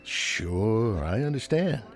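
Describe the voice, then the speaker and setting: An actor playing a chatty, coaxing big-city bartender in a radio drama, talking in a folksy, colloquial way. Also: male voice, lowish voice, very animated, slow. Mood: placating. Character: chatty, placating male city bartender, easily exasperated. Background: background music.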